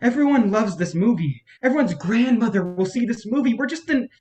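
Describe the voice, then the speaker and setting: A performer at a staged reading acts out an embittered film campaign strategist at the end of their rope. The delivery is highly animated, sweeping up and down in pitch. The manner is profane, sarcastic and exasperated. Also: a little fast, natural voice. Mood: agitated, despairing.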